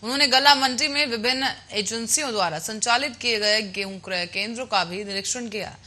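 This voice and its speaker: A woman anchoring a news bulletin. Her voice is fairly high and very bright, with a forceful edge as she reads from a script. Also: a little fast.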